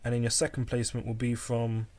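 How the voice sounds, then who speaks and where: A man co-hosting a casual chat, speaking in a calm, easygoing way with frequent ums. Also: medium pitch, fast. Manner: calm, relaxed, hesitant with fillers. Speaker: podcast co-host.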